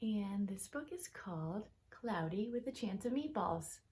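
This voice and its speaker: A woman reading a children's storybook aloud like a teacher, with expressive, emphatic phrasing aimed at young listeners. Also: mid-range voice, a little slow. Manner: talks directly to young listeners.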